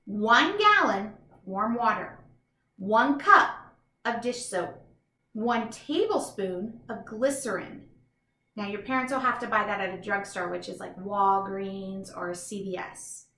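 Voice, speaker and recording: A woman's voice: medium pitch, slow, pushed and bright, roomy sound. A woman reads a children's book aloud like a teacher, enthusiastic and instructive, with chatty explanatory asides to young listeners.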